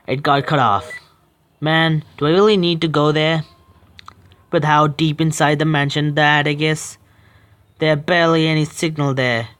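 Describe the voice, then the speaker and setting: A man's voice: a little high, fairly bright tone. A young man streaming a horror visual novel, reading the text aloud with stumbles and breaking off into swearing, joking asides. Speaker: game streamer.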